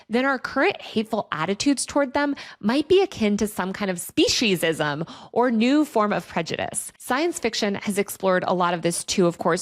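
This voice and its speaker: A woman presenting a scripted video essay in a conversational, wry, opinionated way, with casual asides. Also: mid-range voice. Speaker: video essayist, online culture commentator.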